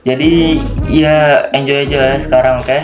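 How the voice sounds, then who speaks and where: A man with an Indonesian accent casually introducing a gaming video, halting and repeating himself informally. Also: mid-range voice, steady tone. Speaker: video series host. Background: loud music.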